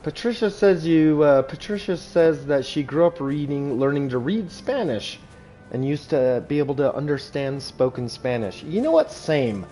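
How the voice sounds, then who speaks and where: A man from Southern California, chatting calmly and reading chat aloud while streaming a video game. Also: a little high, normal speed, faint music behind. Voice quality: calm. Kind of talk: casual gameplay commentary.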